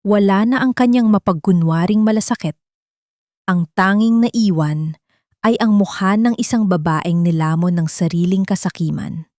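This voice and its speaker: A woman's voice: mid-range voice, darkish tone, no room sound. Dry, close woman audiobook narrator. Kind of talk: scripted dramatic story narration.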